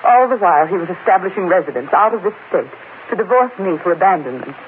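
An actress playing a proud, possessive wronged wife in 1940s radio drama style, dramatic and resolute. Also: female voice, on the low side, lively intonation, quick pace, close to an everyday voice. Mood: bitter. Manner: dramatic, theatrical. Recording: scratchy, tinny old radio broadcast.

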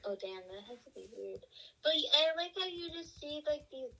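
A woman's voice: sits high, expressive, moderate pace, gravelly, bright, forceful tone, low-quality recording. A woman in a casual chat who talks offhandedly and swears freely.

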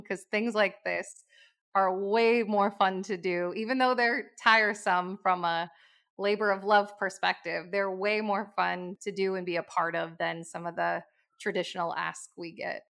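A woman's voice: fairly low pitch, gravelly, a bit bright. A woman reflecting on her work, searching for words with frequent fillers. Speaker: podcast speaker. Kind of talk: reflective business talk.

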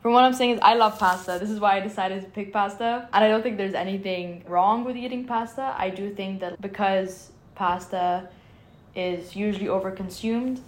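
A woman's voice: mid-range voice, fairly even intonation, moderate pace. A young woman from Egypt, a startup founder, answering interview questions in an earnest, eager way with lots of "like" fillers. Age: young adult.

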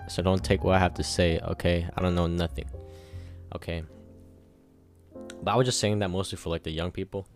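A twenty-one-year-old man hosting a solo podcast, rambling casually with lots of swearing and pep-talk energy. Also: deep voice. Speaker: podcast host.

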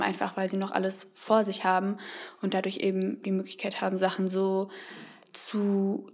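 Lively nineteen-year-old woman. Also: medium pitch. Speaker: volunteer, panelist. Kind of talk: casual discussion.

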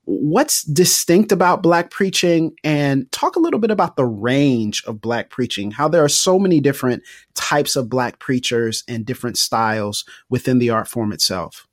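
A man's voice: mid-range voice, animated, normal speed, hardly any pauses, no echo, studio quality. A man hosting a podcast interview, engaged and affirming as he poses his questions. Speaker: podcast host. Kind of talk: conversational interview.

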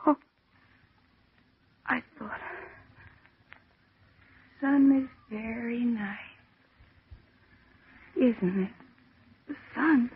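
A young woman trapped in the dark, frightened and slipping into delirium. Her voice is high and her delivery very slow and halting, in 1940s radio drama manner. The recording is thin and narrow like an old broadcast. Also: breathy edge, full character voice. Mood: dazed, hushed. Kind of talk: 1940s radio horror acting.

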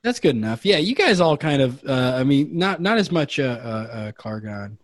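A man's voice: mid-range voice, very animated, moderate pace, dry recording. A man narrating a comedic tabletop game as game master, rambling with lots of fillers. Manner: rambling, many fillers, laughs often.

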